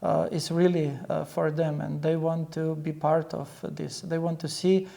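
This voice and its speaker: Gravelly, even-toned male pastor. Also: on the high side, measured pace. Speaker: pastor, interview guest. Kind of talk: earnest interview answers.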